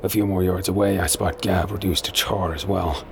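Deep gravelly male drama narrator. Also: fairly even intonation, normal speed, noisy background. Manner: tense, terse and intense. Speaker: first-person narrator.

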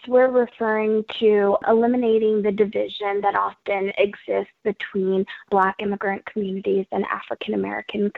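An earnest woman speaking in a measured way, her voice low with gravelly fry. She comes through a tinny, dry phone line, with no room around her. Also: dry recording. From Ethiopia. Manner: earnest, measured, frequent ums. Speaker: immigrant rights organizer. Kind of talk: interview answers.

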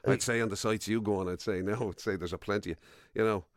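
A man's voice: lowish voice, steady tone, fast, no echo, clean studio sound. An Irish man co-hosting a radio show in casual, wry banter, often sounding mock-indignant.

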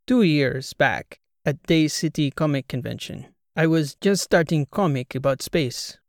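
A man's voice: a little high, unhurried, dry recording, clean studio sound. A man doing an earnest Croatian student with clipped English. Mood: explaining. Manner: clipped broken English, dropped articles. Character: male Croatian PhD student, earnest, blunt.

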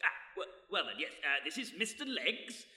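High, very bright blustering ship's captain. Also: male voice, sing-song, normal speed, heavily acted. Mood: flustered politeness. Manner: hesitant, stammering, fussy, chuckling bluster. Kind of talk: comic radio play acting. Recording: echoey hall, live stage recording.

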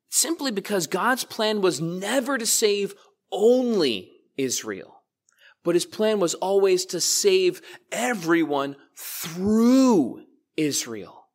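Male pastor, conversational sermon delivery, addresses congregation directly. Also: high-pitched. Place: church.

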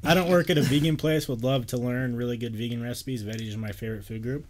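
A man trading crude jokes in a loose chat among friends. His pitch sits at an ordinary middle level. Faint background noise runs under his voice. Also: fairly even intonation, quick pace. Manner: laughing, crude banter. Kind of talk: rowdy casual chat with friends.

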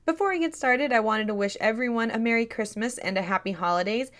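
Slightly raspy woman hosting a podcast. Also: fairly high pitch, fast, a bit bright. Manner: friendly, chatty, earnest. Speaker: podcast host. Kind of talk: scripted podcast narration.

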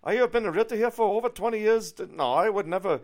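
A man's voice: high-pitched, fast talker, a little mellow, voice pushed up, natural voice. A man voicing an older woman realtor, friendly but indignant, speaking in emphatic, repetitive exclamations.

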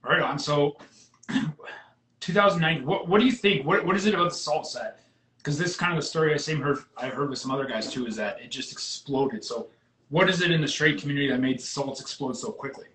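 A man's voice: on the high side, fairly quick, bright, forceful tone. A man hosting a podcast, asking casual, upbeat questions and joking easily with his guest. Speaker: podcast host. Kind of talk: casual interview chat. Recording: roomy echo.

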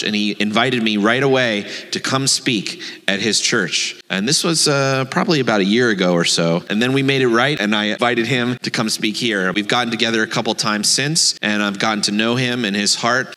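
A man serving as rabbi, introducing a guest speaker to his congregation in an earnest, measured way. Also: fairly low pitch, pushed and bright. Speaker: rabbi and host pastor. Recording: long hall reverb.

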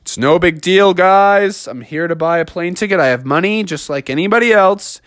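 A man voicing an excitable, easygoing young male bear who talks in surfer slang and exclaims a lot. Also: medium pitch, moderate pace, no room sound, studio quality, voice pushed up, close to an everyday voice. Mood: excited.

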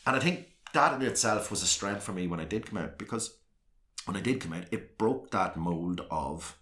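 A man with a South Armagh accent and a very low, deep voice. He talks openly and rambles, circling back with restarts and fillers. He sounds like an earnest guest in a sit-down conversation. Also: expressive, normal speed, a bit bright. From South Armagh, Northern Ireland. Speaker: guest, councillor and former soccer manager.

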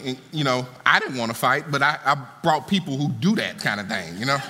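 A man preaching a sermon to a congregation, swinging from soaring lines to low, conversational asides. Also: pushed and bright. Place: church auditorium. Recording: long hall reverb.

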